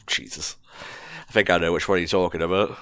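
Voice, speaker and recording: A man's voice: low-pitched, fairly even intonation, normal speed, fairly bright tone, slight background noise. A man casually playing a game, teasing his friends and muttering as he plays. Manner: easygoing, teasing, talks to himself as he plays. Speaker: player. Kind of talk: casual let's-play chat.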